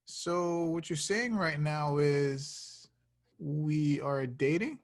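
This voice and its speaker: A man's voice: a little high, very slow. A man playing an awkward, sheepish young slacker in a comedy table read, talking in a casual, hesitant way. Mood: awkward, puzzled.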